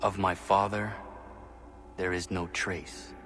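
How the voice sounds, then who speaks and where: An actor playing a stoic young warrior, delivering formal, solemn dramatic narration for a video game. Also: male voice, deep voice, flat delivery, slow. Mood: solemn, brooding.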